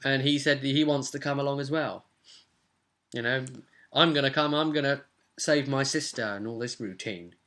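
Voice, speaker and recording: A young British man with a bright, forward voice chats through a recap of a role-playing game. He runs the game and tells it casually, at a middling pitch. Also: normal speed. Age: young adult. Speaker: game master, co-host. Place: small cluttered room at home.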